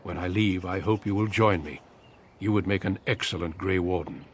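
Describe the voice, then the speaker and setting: A man playing a seasoned, steady warrior recruiter in a fantasy game, speaking in a measured, formal and courteous manner. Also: sits low, slight background noise, natural voice. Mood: grave. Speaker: Grey Warden recruiter. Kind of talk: video game cutscene acting.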